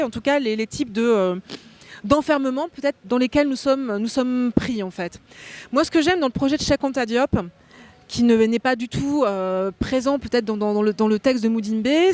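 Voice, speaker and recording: A woman's voice: high voice, a little mellow, no echo. A woman raised in France, a guest thinker explaining ideas at length on a cultural talk show. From born in Belgium, raised in France. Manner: articulate, academic, explains concepts at length. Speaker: guest philosopher. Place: hotel meeting room.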